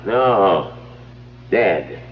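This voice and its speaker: A slightly noisy, dull old radio recording of a police sergeant. His voice is on the low side and subdued. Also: lively intonation, very slow, exaggerated voice. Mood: grim. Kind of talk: 1970s radio drama acting. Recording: dull 1970s radio broadcast, faint noise.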